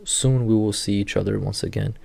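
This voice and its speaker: A man in his thirties, a native Portuguese speaker, who works with rehab athletes and calmly walks viewers through training clips, recorded indoors on a webcam. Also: lowish voice, brisk, vocal fry. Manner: steady, instructive walk-through of clips. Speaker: sports rehab clinician. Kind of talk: explanatory video commentary. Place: indoor room.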